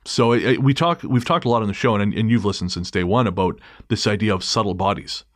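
A male podcast host with a lower voice, trading stories and crude jokes in a relaxed chat. His sound is close and dry, with no room around it. He laughs easily at his own lines. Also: quick pace, no echo. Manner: joking, crude humor with easy laughter. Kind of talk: casual podcast conversation.

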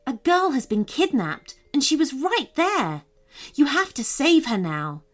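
A woman's voice: high voice, sing-song, measured pace, pitched above the performer's own voice. A woman voicing a tall, commanding fairy in a children's story, over faint music.

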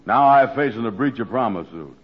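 A man's voice: mid-range voice, lively intonation, a little fast, close to an everyday voice. An actor playing a gullible, worried, simple man in old-time radio comedy, with a plaintive folksy way of talking. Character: gullible, worried, slow-witted man in trouble. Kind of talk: 1940s radio comedy acting. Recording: dull old radio recording.

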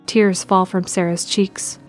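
Female narrator for scripted audio drama, lower register, music bed behind. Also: flat delivery, slow pace.

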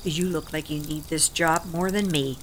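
A woman's voice: deep voice, steady tone, moderate pace, slight background noise, natural voice. An actress playing a proud, weary older American working woman in an audio drama, defensive and sardonic, with blunt folksy talk. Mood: angry, sardonic.

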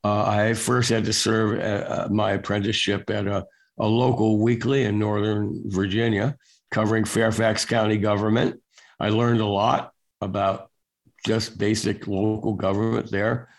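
An older man being interviewed as a guest, telling stories in a relaxed, wry way with lots of filler words. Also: lowish voice, moderate pace, gravelly. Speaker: guest, national security reporter. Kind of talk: casual storytelling interview.

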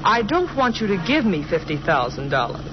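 Calculating female schemer, gangster's widow, American, flirtatious, mocking, animated melody, 1940s radio acting, dull noisy recording. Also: on the low side, normal speed. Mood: coy, coaxing. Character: sly, flirtatious, hard-edged gangster's widow. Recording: muffled old radio recording, steady noise.

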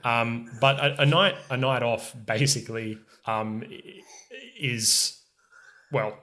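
A man's voice: mid-range voice, steady tone, slow, sharp tone. A young man, hosting a casual podcast in a friendly way, with hesitant ums. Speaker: podcast host. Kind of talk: casual chat.

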